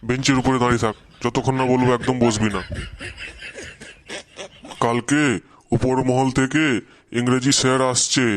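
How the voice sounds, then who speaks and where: A man's voice: medium pitch, little pitch movement, moderate pace, pauses often, natural voice. A man voicing a strict, exasperated middle-aged schoolteacher in a Bengali comedy cartoon, scolding with a Bengali accent. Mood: stern. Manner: scolding, threatening.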